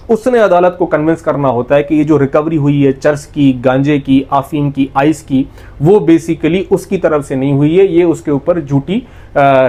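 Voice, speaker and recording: A man's voice: fairly high pitch, a little mellow. A man with a Pakistani accent giving an explanatory lecture, didactic and step by step, restating points with emphasis and mixing in English legal terms. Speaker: law lecturer.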